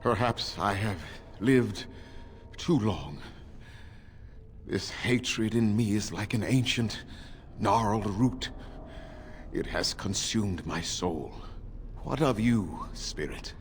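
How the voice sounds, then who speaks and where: An actor playing an ancient, proud and bitter elf leader in a fantasy video game, grave and theatrical. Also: male voice, lowish voice, slight background noise. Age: older.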